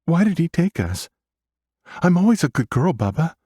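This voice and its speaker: Guy doing a scared sweet little girl for an audiobook, tiny voice pitched way up, super dry sound. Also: very animated, normal speed, warm tone, breathy edge, no room sound. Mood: scared, innocent. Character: frightened seven-year-old little girl, trusting and sweet.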